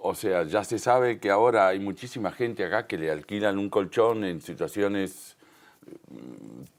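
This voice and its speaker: A man with a fairly deep voice and a Rioplatense Spanish accent speaks as a guest in a television interview. He explains his points conversationally and with emphasis, sometimes rephrasing mid-sentence. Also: expressive, a little slow, gravelly. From Uruguay and Argentina, Río de la Plata.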